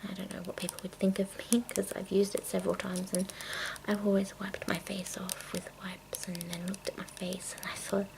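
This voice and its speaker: A woman making a casual ASMR video, speaking in a breathy, mostly whispered voice with a halting, rambling delivery. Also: medium pitch, little pitch movement, noisy background. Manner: hushed, unhurried, halting. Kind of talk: ASMR, rambling product show-and-tell.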